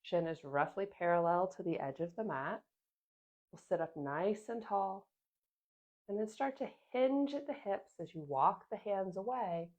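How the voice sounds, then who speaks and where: A woman yoga instructor calmly cueing a seated class, a little sniffly from a sinus infection. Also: fairly low pitch, lo-fi sound. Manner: gentle, calm cueing with soft pauses.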